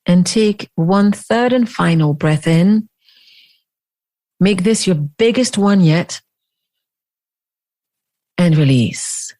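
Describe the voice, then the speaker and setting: A woman leading a guided meditation as a teacher, speaking in deliberate phrases with long pauses. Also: fairly low pitch, animated, mellow.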